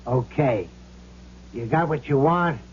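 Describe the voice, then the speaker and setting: An actor playing the ghost of a brash, wisecracking gangster in a 1970s radio drama, talking in tough, curt, bossy slang. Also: male voice, medium pitch, slow, slight background noise, lightly acted. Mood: brash, impatient. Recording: muffled old radio broadcast.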